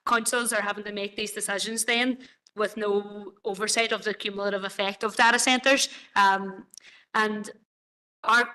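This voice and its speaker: Bright-voiced woman presenting. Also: mid-range voice, poor audio. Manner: hesitant, many fillers and restarts. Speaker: presenter to a council committee. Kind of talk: committee presentation. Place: council chamber.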